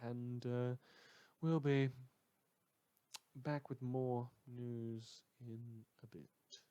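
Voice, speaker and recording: A performer playing a mild, earnest radio host in a fan audio drama, talking to listeners in a hesitant, rambling way with hums and pauses. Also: mid-range voice, steady tone, warm tone, smooth, dry recording, muffled recording. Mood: tentative signing off.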